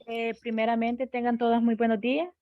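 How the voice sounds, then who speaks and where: A woman's voice: fairly high pitch, flat delivery, no room sound. A woman, introducing herself hesitantly as a workshop participant over a video call. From El Salvador. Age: 20s. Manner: slow, hesitant, searching for words. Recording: tinny phone-like video call audio.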